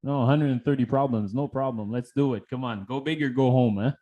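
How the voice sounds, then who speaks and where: A man's voice: mid-range voice, fairly quick, dark tone, dull audio. A man co-hosting a podcast in a casual, conversational style. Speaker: podcast co-host. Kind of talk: casual, joking interview chat.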